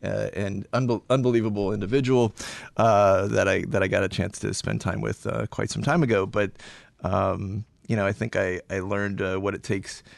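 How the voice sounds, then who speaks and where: Middle-aged guy with a gravelly low voice, lots of ums and restarts, dry close mic. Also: little pitch movement, moderate pace, no room sound. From Arizona, US. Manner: hesitant, many restarts and fillers. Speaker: podcast guest. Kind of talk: casual interview conversation.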